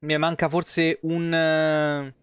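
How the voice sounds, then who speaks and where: A man streams a game in a fairly high, smooth voice. He talks colloquially, grumbles and swears freely, recorded close and dry. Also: little pitch movement, drawn-out words, fairly bright tone, dry recording, faint music behind. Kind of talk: casual live game commentary. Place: small indoor room.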